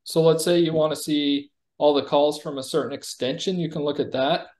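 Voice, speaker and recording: A man walks through a software product in a casual, conversational way. He explains features informally, as a company executive would in a demo on a video call. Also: fairly high pitch, fairly even intonation, moderate pace.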